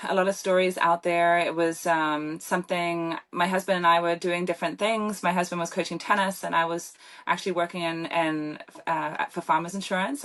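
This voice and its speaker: A woman's voice: lowish voice, a bit bright. A young woman with a British accent, chatting as a guest in an interview with many fillers and restarts. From Germany. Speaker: guest, professional pickleball player. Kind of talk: casual interview chat.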